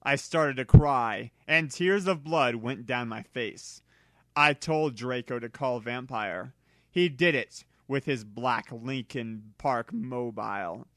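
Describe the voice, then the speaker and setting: A man narrates fiction with theatrical, exaggerated emphasis. His voice is bright and forceful at an ordinary pitch, and the recording is dry, with hardly any room in it. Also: slow pace, dry recording. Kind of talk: dramatic reading of fan fiction.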